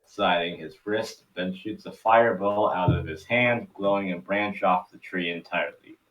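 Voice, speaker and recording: A man's voice: deep voice, normal speed, distant mic, muffled recording. A man reading scripted narration for a table read, now and then stumbling over his words.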